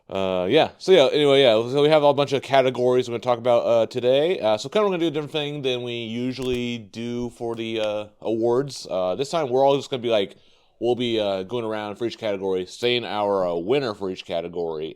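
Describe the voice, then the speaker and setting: A man's voice: medium pitch, brisk, a little mellow, smooth. A man hosting a casual podcast, rambling with lots of fillers and easy laughs. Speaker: podcast host.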